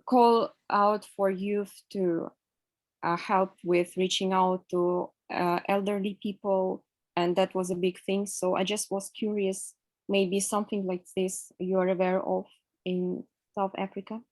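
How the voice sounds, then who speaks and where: A woman's voice: mid-range voice, level tone, measured pace, darkish tone, dry recording. A woman from Russia, asking a polite, hesitant question on a video call. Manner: polite, hesitant, many fillers.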